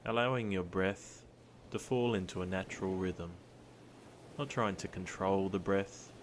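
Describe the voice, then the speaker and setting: Man, deep voice, meditation guide, soothing and gentle, long pauses, soft ocean surf in the background. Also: fairly even intonation, a little hiss or hum. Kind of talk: guided body scan meditation. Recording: surf sounds behind the voice. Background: ocean surf ambience.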